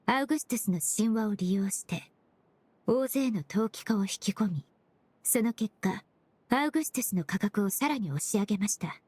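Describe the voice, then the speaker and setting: A woman's voice: mid-range voice, expressive, moderate pace, a little mellow, breathy edge, no room sound. An actress in a Japanese game dub playing a composed, analytical young woman investigator who speaks politely and methodically. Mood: coolly probing.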